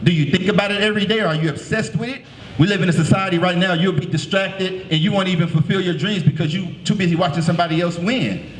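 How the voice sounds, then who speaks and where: A man's voice: sits high, quick pace, a little hiss or hum. A man in his late thirties from the San Francisco Bay Area, giving a preacherly motivational talk to middle schoolers and asking the crowd questions. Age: late 30s. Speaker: motivational speaker. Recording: echoey room.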